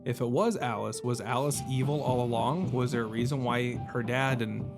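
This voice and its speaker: A man's voice: mid-range voice, fairly even intonation, moderate pace. Rambling man doing game commentary over music. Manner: rambling, thinking aloud, self-deprecating joking. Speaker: video game streamer. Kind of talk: casual let's-play commentary. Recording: music behind the voice.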